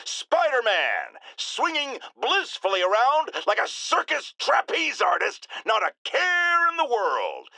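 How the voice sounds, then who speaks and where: A man's voice: very high voice, creaky, sharp tone, no room sound, exaggerated voice, poor audio. A man playing a pompous, paranoid middle-aged radio talk show host in a video game, ranting theatrically with indignant emphasis. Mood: scornful. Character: bombastic, paranoid middle-aged male radio shock jock.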